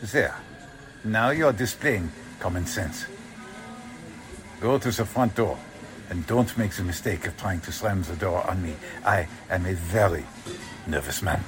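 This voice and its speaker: Man acting a low-voiced, menacing German Nazi agent. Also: over music. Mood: quiet menace. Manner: quietly threatening, formal, deliberate phrasing. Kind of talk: 1940s radio drama acting.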